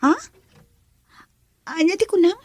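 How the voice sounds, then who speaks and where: A woman's voice: very high voice, unhurried, darkish tone, dry recording. An actress playing a shy young woman being courted, bashful and hesitant, with an Ilocano Filipino accent in a radio drama. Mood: bashful, doubtful.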